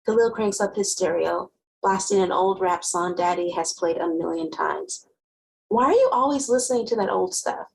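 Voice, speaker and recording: A woman playing a wry, guarded, sharp-tongued teenage girl who narrates her own story conversationally in a dramatic novel reading. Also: on the low side, moderate pace, creaky, slight background noise, rough recording. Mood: casual. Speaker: actor.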